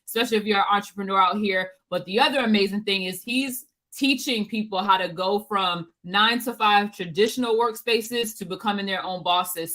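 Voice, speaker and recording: A woman hosts a podcast interview with a bubbly, enthusiastic delivery. Her voice is bright and forward at a middle pitch. She is heard over a video call. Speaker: podcast host. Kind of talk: upbeat interview hosting.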